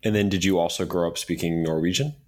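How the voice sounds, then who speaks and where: A man's voice: sits low, fast, a little mellow. A man co-hosting a podcast, asking long, multi-part questions with a light joking tone. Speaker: podcast co-host. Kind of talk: casual interview.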